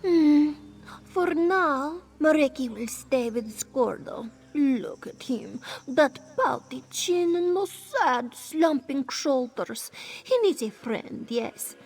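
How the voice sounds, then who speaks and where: A woman's voice: high-pitched, lively intonation, slow pace, darkish tone, full character voice. A coy, flirty cat-folk woman. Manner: purring, coy and teasing. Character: flirty female cat-folk wanderer, playful, speaks of herself in third person. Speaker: game character. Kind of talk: video game voice acting.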